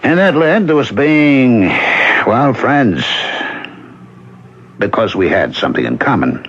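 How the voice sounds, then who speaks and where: A halting, grieving drifter, animated pitch. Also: male voice, slightly rough, exaggerated voice. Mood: confiding, reflective. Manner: halting, hesitant, conversational. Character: grieving, drifting widower and former wino, gentle and plain. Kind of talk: old-time radio drama acting. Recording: muffled vintage radio broadcast.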